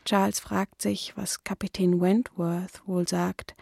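A woman playing a confiding, observant gentlewoman in a period radio play, with a husky, measured delivery. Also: fairly low pitch, level tone, no room sound, clean studio sound, exaggerated voice. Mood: gossipy, confiding. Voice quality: husky, creaky. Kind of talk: radio play acting, letter reading.

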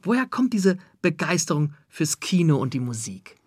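A man's voice: on the high side, very animated, normal speed, breathy edge, dry recording, studio quality. A man hosting a radio interview, presenting and asking in a prepared, structured way. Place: radio studio.

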